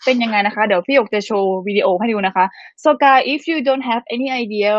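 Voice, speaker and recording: A woman's voice: on the high side, dry recording, highs cut off. A woman with a Thai accent hosting a live stream interview, bubbly and laughing often as she translates for viewers. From Thailand. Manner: bubbly, laughs often, rapid code-switching. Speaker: host.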